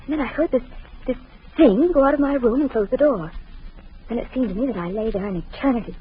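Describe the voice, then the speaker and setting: An actress playing a frightened young wife in a 1940s radio drama, trembling and breathless. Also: female voice, fairly high pitch, fast, slightly rough. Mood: shaken. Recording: dull old radio recording.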